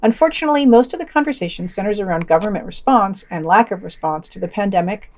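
A woman's voice: medium pitch, expressive, fast, darkish tone. A woman presenting a podcast, reading scripted reviews with wry, informal, opinionated asides.

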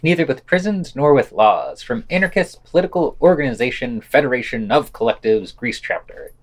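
Guy reading podcast news, medium pitch, really up and down intonation, some casual asides. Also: animated, a little fast.

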